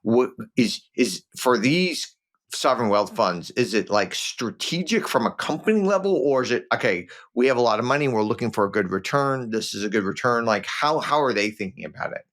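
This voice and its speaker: A man's voice: medium pitch, expressive, fairly bright tone. A man hosting a casual podcast interview, often breaking off and rephrasing his questions as he goes. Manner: halting, rephrases questions mid-sentence.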